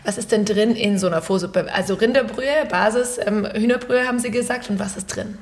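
A woman's voice: mid-range voice, a little fast, darkish tone, a little hiss or hum. A woman hosting an interview, curious and asking lots of follow-up questions. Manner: curious, asks follow-ups, frequent mhm. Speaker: interview host.

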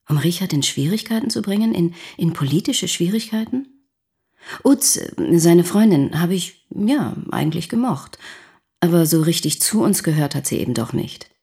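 A defensive mother in denial in a radio drama. Her low, very breathy voice creaks as she makes excuses for her son. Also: warm tone, exaggerated voice.

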